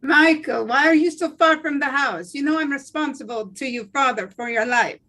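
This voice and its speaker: A woman's voice: high-pitched, moderate pace, pushed and bright. A woman playing a worried, fatherly old Sicilian protector who cautions a young exile, in a live table read.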